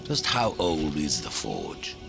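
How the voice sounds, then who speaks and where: Sardonic game horseman of doom; extremely low, heavy gravel, dry menace with swooping inflection. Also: huge pitch swings, slow, full character voice. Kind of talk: dramatic video game acting. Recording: loud music behind the voice.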